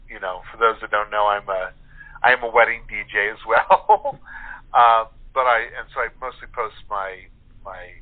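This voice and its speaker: A man chatting casually as an interview guest, rambling and correcting himself as he goes. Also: medium pitch. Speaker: guest, playwright and wedding DJ. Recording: narrow tinny phone call.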